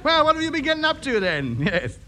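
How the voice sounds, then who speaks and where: A man's voice: very high voice, huge pitch swings, a crowd in the background, noisy background, full character voice. A man with an English accent playing an exasperated, self-important amateur radio enthusiast in a sitcom, theatrical and prone to over-enunciating. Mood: effortful, coaxing. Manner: theatrical, slowly over-enunciating or grandly musing. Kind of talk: broadcast sitcom acting.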